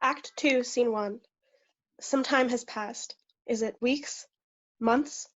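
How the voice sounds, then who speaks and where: Woman narrator, highish, slightly muffled. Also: steady tone, slow, faint music behind. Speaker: reader of stage directions. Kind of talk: scripted narration. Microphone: video call.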